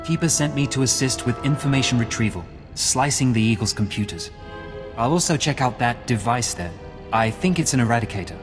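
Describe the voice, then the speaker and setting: A man's voice: mid-range voice, expressive, big-room sound, over music, lightly acted. A man playing an eager field agent. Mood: earnest. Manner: brisk, earnest reporting. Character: eager young male field agent, helpful and earnest.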